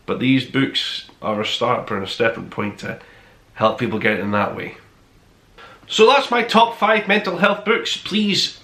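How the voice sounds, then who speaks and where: A man's voice: mid-range voice, fairly bright tone. Enthusiastic man in an echoey room. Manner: gushing, enthusiastic, emphatic. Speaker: host of a book review podcast. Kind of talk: casual review to camera, top five countdown. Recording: roomy, echoey indoor sound.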